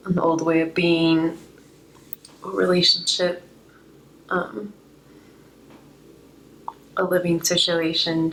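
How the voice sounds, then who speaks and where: Low-voiced woman, slightly hoarse tarot reader. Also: slight background noise, poor audio. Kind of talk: unscripted spiritual card reading, reflective.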